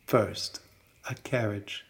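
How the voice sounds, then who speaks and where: A man's voice: on the low side, takes it slowly, pitched below the performer's own voice. A man voicing a kindly, eccentric fairy godmother in a storybook, speaking reassuringly and affectionately. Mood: assured. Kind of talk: storybook character reading.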